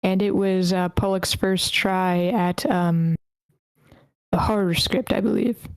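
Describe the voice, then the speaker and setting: A woman with a medium-pitched voice moderates an online table read. She reads written feedback aloud. The sound is dry, with no room echo. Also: fairly even intonation, takes it slowly, clean tone.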